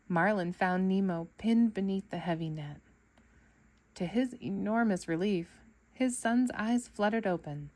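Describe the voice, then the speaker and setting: A woman storyteller reading a children's book aloud in an expressive narrating style for kids. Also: mid-range voice.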